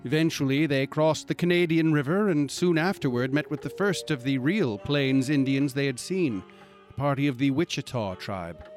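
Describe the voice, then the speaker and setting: A man playing the narrator of an eerie frontier tale, telling it in a measured, formal, literary way as scripted radio drama. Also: medium pitch, natural voice. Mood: grave, storytelling. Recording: music under the voice.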